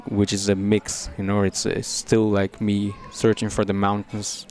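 A man's voice: on the low side, completely flat delivery, unhurried, darkish tone, faint music behind. A young man who grew up in Brazil, a musician talking thoughtfully in an interview, often repeating words as he searches for what to say. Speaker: musician guest. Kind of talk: conversational interview.

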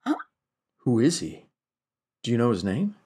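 A man's voice: mid-range voice, big pitch swings, moderate pace, dark tone, breathy edge. A man playing an earnest, worried investigator in a tabletop audio drama, pleading politely with a slight stammering delivery.

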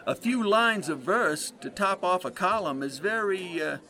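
Man playing a bright, high-voiced jovial 1920s sportswriter. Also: expressive, a little slow, exaggerated voice. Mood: amused approval. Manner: breezy, teasing. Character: jovial, needling hard-drinking male 1920s sportswriter. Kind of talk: period audio drama acting.

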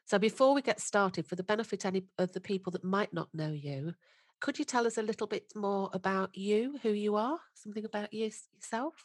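A woman's voice: mid-range voice, fairly quick, no room sound. A woman hosting a podcast, talking in an effusive, enthusiastic and chatty way. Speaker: podcast host. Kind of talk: conversational podcast interview.

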